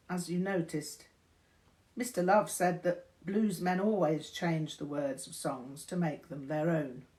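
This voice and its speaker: An older woman reading a memoir aloud in a measured, deliberate storytelling manner, indoors. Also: lowish voice, darkish tone. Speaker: reader of a memoir. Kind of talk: audiobook-style narration of a memoir. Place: indoors, small room.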